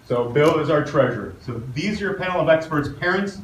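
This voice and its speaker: A man with a loud voice moderating a community meeting and introducing a panel, with frequent "uh" fillers. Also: fairly high pitch, expressive, brisk. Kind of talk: public meeting emcee. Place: large room at a community meeting. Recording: roomy, distant, with faint noise.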